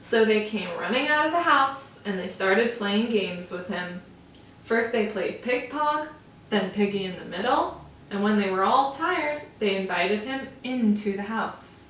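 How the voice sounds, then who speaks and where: A woman teacher reading a storybook aloud to young children, with slow, expressive, drawn-out emphasis. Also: mid-range voice, a little hiss or hum. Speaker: first-grade teacher. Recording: roomy echo, from far off.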